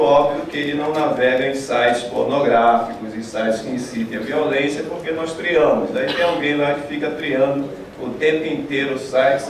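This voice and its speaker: A man's voice: mid-range voice, slight background noise. Brazilian man presenting in echoey hall. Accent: Brazilian Portuguese. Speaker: panel speaker. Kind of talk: conference presentation. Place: conference hall stage. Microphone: table mic on stand. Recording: echoey hall, distant mic.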